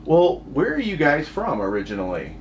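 A man's voice: a little high, wildly swooping pitch, normal speed, muffled recording, slight background noise. A man hosting a casual interview, friendly, with short reactions and laughing along. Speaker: interview host. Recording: distant, faint ambience.

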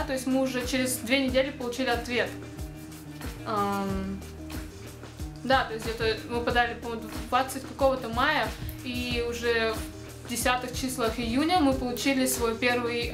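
A young woman, chatting casually to camera in a rambling way, full of fillers and light laughs. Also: a little high. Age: young adult. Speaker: vlogger. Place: dark indoor room. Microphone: camera close to face. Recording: echoey room with music behind.

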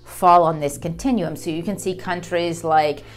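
Woman giving a clear lecture. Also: on the low side, steady tone, moderate pace. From United States. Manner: clear, explanatory, gestures with her hands. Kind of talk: educational video lecture. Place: small room indoors.

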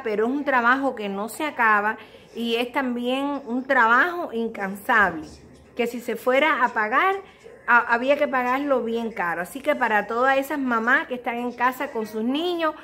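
A woman's voice: fairly high pitch, sharp tone. A middle-aged woman with a Cuban Spanish accent, chatting casually and earnestly to her viewers in a confessional way, recorded on a phone at home. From Cuba. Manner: earnest, addresses viewers directly, motherly advice. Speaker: vlogger. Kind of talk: casual confessional chat to camera. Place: small living room at home. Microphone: phone at arm's length.

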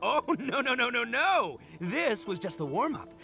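A man's voice: very high-pitched, big pitch swings, a little slow, vocal fry, bright, forceful tone, faint music behind, exaggerated voice. A man voicing a brash, boastful, big-hearted young oni gang boss in a video game, cocky and slangy. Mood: boisterous.

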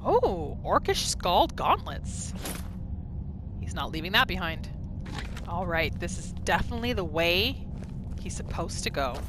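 A woman's voice: on the low side, very animated, measured pace, pauses often, music in the background. A woman giving reactive gameplay commentary, exclaiming and thinking aloud as she plays through a roleplay. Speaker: gamer narrating a roleplay playthrough.

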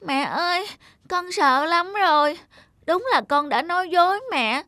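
Woman voicing an earnest high-pitched little cartoon boy. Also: measured pace, dry recording, clean studio sound, exaggerated voice. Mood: contrite. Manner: earnest, childlike, expressive. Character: naive, lazy, eager little peasant boy. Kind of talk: children's cartoon dubbing.

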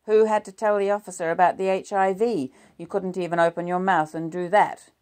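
A woman's voice: lowish voice, a little slow, creaky, a little mellow, voice pushed up. A woman voicing a wounded, bitter husband who growls and turns sarcastic. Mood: tense.

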